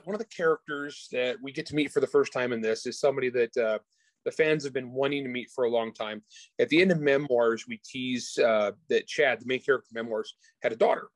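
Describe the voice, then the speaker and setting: A man's voice: medium pitch, no echo. Casual man chatting in a dry, close sound. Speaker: author being interviewed. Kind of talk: casual interview chat about their own books.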